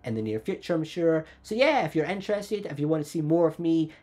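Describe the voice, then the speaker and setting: A man's voice: sing-song, brisk, darkish tone. A man hosting his own channel, talking through fan theories in an upbeat, chatty way while he has a cold. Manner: upbeat, chatty, often rising in pitch. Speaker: YouTube channel host. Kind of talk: fan theory video commentary.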